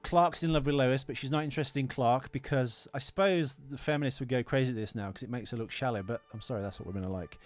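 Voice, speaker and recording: A man's voice: medium pitch, quick pace, few pauses, soft background music. A man co-hosting a podcast in casual, opinionated film chat, ranting emphatically and swearing freely. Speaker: podcast co-host.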